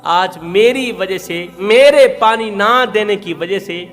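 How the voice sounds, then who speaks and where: A man's voice: high-pitched, fairly bright tone. A man preaching a fervent sermon, telling a moral story with repeated emphatic phrases and rising cries. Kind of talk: impassioned Urdu sermon with storytelling.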